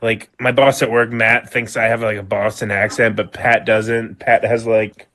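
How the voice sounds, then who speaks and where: A bright, forward-sounding man with a New England accent. He co-hosts a casual podcast, teasing his friends and laughing often. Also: fairly low pitch, level tone, moderate pace. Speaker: podcast co-host. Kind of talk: casual chat.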